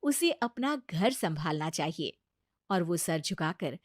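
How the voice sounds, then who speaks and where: A woman's voice: lowish voice, big pitch swings, slow pace, dry recording, studio quality. A woman reading a scripted story as its narrator.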